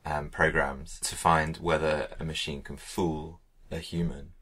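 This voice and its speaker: Very deep, bright-toned man. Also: flat delivery, measured pace. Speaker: podcast co-host. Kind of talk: casual podcast chat.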